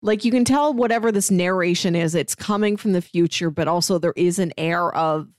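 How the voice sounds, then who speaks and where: A woman's voice: on the low side, fairly quick, no room sound, studio quality. A woman co-hosting a podcast, talking in a casual, conversational discussion style. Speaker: podcast co-host. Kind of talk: casual comic book discussion.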